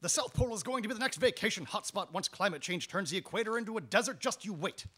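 A man acts out a scheming Santa Claus for a comic radio soap. His voice is husky and swings widely in pitch. He blusters theatrically, a jolly schemer who is easily flustered. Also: animated, fast, sharp tone, full character voice. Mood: boastful. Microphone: stand microphone in small radio studio.

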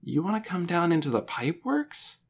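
Woman doing serious young boy in a kids audiobook, soft n hesitant, kinda doubtful, thinking it over. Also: lowish voice, sing-song, fairly quick, breathy edge, pitched above the performer's own voice, full character voice. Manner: hesitant, soft-spoken, murmuring. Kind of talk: children's audiobook character dialogue.

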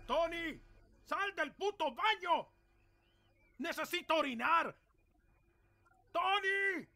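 A man in an amateur fan dub playing a furious, foul-mouthed middle-aged father who shouts explosively at his son. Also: extremely high pitch, expressive, takes it slowly, vocal fry, full character voice. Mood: urgent, angry.

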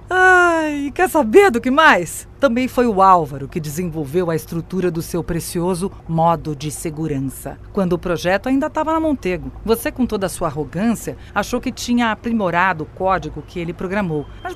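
An actress plays a female artificial intelligence, manipulative and condescending. Her delivery swings widely in pitch, theatrical in the way of an audio drama. There is ambient noise behind her. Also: close to an everyday voice. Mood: smug, mocking. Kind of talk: scripted audio drama acting.

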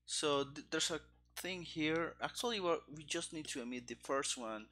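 A man in his thirties giving casual live coding commentary, haltingly thinking aloud into a headset mic. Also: fairly high pitch, sharp tone. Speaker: programmer live-streaming game development. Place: small room indoors at a desk. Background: keyboard typing and clicking.

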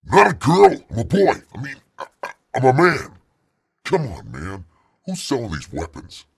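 Man acting gravelly robotic disguised superhero voice. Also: huge pitch swings, normal speed, faint music behind, exaggerated voice. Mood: flustered, demanding. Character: flustered young male superhero, voice disguised to sound menacing. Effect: pitch shifted down, subtle robotic flanger. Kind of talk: acted film scene imitation.

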